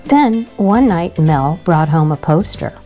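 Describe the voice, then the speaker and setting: A woman narrates a picture book for children. Her voice is fairly low and mellow, and she reads expressively at a very slow pace. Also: animated, faint music behind. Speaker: children's storybook reader.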